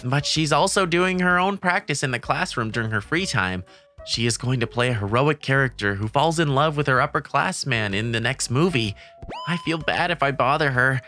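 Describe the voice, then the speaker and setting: Woman doing a nervous teen boy, stammering, bright tone, soft music, manga dub. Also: rumbling low, lively intonation, normal speed. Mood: narrating. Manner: stammering, flustered. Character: shy teenage boy, movie nerd narrator. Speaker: narrator and lead character.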